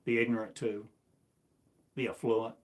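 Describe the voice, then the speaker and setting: A man's voice: fairly low pitch, little pitch movement, a little slow. A man delivering a rambling, self-recorded sermon, asking one repetitive, incantatory rhetorical question after another. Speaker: self-styled preacher.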